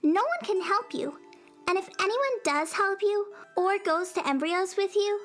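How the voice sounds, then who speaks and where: A woman's voice: very high voice, lively intonation, a little slow, a bit bright, soft background music, heavily acted. A woman acting a tiny, sweet-toothed space fairy oracle, cute and cheerful, in a children's radio drama. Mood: oracular. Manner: cute, cheerful, matter-of-fact.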